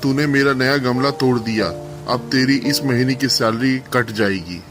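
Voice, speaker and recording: A man's voice: mid-range voice, normal speed, over music, close to an everyday voice. Man playing a harsh, miserly village boss. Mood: stern, scolding. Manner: stern, domineering.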